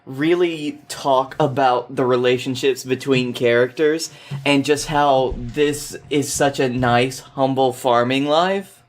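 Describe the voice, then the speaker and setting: A man with a medium-pitched voice speaks as a guest on a podcast. His delivery is casual, suited to both chatting and reading a story aloud. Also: slow pace.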